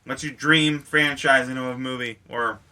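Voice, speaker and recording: A man's voice: mid-range voice, fairly even intonation, normal speed, noisy background. A man co-hosting a podcast in casual chat, with a joking, crude and slangy way of talking. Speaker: podcast co-host.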